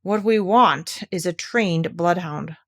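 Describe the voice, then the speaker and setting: A woman's voice: fairly low pitch, slow, fairly bright tone, heavily acted. A woman voicing a gloomy, resigned male donkey from a children's storybook, with a mournful, plodding manner. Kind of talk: children's storybook character reading.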